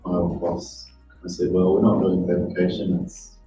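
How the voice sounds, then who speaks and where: A young man, an apprentice, speaking in a low-key, mumbling way. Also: flat delivery, moderate pace, warm tone, soft background music. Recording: echoey room, distant mic.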